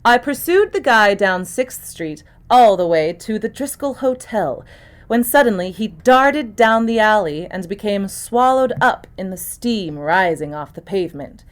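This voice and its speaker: Woman narrating a mystery novel, mid-pitched. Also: animated, measured pace. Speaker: actor reading an audiobook chapter. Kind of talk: scripted first-person mystery narration.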